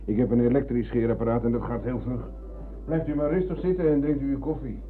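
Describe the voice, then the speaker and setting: An actor playing a rambling, philosophising older husband and embittered war veteran in a radio drama. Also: male voice, medium pitch, steady tone, fast talker, lightly acted. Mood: brisk. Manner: philosophising, theatrical, ironic. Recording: tinny, narrow-band old radio recording.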